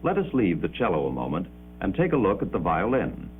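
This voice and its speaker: A man delivering formal, measured 1950s educational film narration with precise enunciation, on an old muffled soundtrack. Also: sits low, huge pitch swings, faint music behind.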